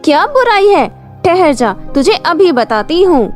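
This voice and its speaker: A woman's voice: very high-pitched, sing-song, measured pace, faint music behind, voice pushed up, heavily acted. A woman voicing an arrogant, mischievous young fairy in a storybook tale, with a haughty, taunting manner. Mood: haughty, threatening.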